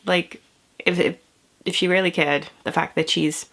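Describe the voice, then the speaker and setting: A woman's voice: lowish voice, fairly even intonation, moderate pace, gravelly, fairly bright tone. A young British woman in her twenties chatting casually to camera over coffee, a bit stuffy from a cold, rambling and laughing often. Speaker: vlogger.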